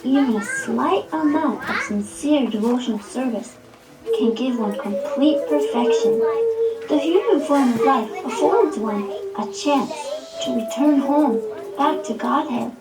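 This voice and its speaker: Puppet show hero: devout, gentle young boy prince played by a woman, high, measured delivery, distant mic with music. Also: animated. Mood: serene, earnest. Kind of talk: scripted puppet show recitation. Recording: echoey, distant, music behind.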